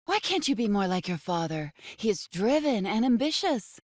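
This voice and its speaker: A woman's voice: medium pitch, sing-song, normal speed, a little mellow, no echo, clean studio sound. A woman voicing a critical, nagging, disapproving mother in a horror audiobook.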